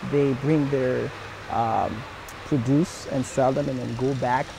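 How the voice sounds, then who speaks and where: A man's voice: medium pitch, steady tone, takes it slowly, gravelly, background noise. A young man from Haiti speaking in an earnest documentary interview, with frequent fillers and restarts. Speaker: community center founder's son.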